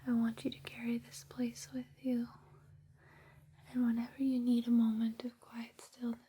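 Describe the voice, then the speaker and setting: A woman whispering softly and breathily in a soothing, reassuring ASMR personal-attention roleplay, close to a binaural microphone. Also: a little high, completely flat delivery, normal speed, slightly rough. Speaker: ASMR performer playing a space flight attendant guiding a sleep journey. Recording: close binaural with background hiss and clicks.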